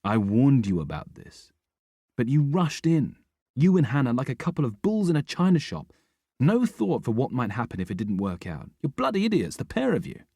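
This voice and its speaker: A man's voice: medium pitch, wildly swooping pitch, normal speed, creaky, no room sound, pitched above the performer's own voice, exaggerated voice. A man reading a blunt, sarcastic father. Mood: stern. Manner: dry, sarcastic, then softening. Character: guarded, blunt middle-aged British father.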